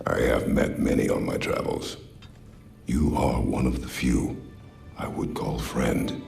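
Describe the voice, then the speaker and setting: An actor playing a gruff, stoic warrior father in a game drama, solemn and terse, with a gravelly voice. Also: animated, unhurried, darkish tone, soft background music, heavily acted. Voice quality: gravelly, very deep. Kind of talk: dramatic video game acting.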